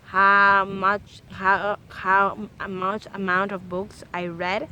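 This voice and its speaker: A young woman from El Salvador with a Spanish accent, speaking English in a casual, earnest vlog full of pauses and "eh" fillers. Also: mid-range voice, completely flat delivery, pushed and bright, lo-fi sound, slight background noise. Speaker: vlogger.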